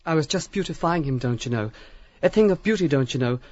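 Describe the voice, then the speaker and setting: A man's voice: fairly high pitch, lively intonation, fast, a little mellow, highs cut off, voice pushed up. A man voicing a fussy, dithering aesthete with affected, prattling speech, in an audiobook drama.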